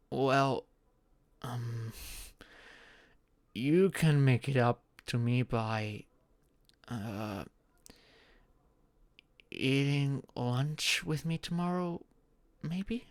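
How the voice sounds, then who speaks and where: A man's voice: mid-range voice, a bit bright, no room sound, heavily acted. A man playing a shy, flustered young social outcast in a romantic audio roleplay, speaking hesitantly with stammers and sighs. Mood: bashful teasing.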